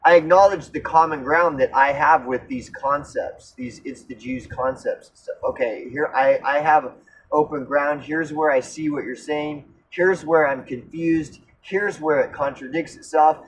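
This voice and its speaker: A man of fifty on an unscripted personal rant, earnest and insistent, halting and repeating himself as he circles back mid-sentence, casually profane. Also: on the high side, slight background noise, rough recording. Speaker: recovery vlogger.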